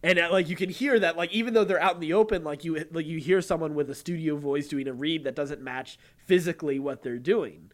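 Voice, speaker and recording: A man with a voice a little higher than most chats casually as a podcast co-host. He is halting and digressive, circling around his points and often chuckling. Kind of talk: casual chat about TV and voice acting.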